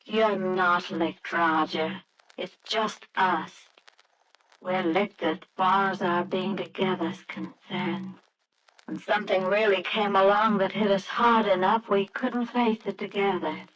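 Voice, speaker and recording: Grieving wife, plaintive, restrained, unhurried delivery, 1940s radio drama, noisy old recording. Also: female voice, mid-range voice, fairly even intonation, fairly bright tone, highs cut off. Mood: weary, sad. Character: sad, weary grieving wife and mother, quietly resigned.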